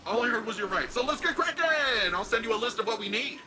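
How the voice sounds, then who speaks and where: An actor playing a brash, eager young hero in a sci-fi game, talking in an informal, cocky, energetic way. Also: male voice, very high-pitched, quick pace, faint music behind, full character voice. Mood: eager, confident. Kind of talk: scripted game cutscene dialogue.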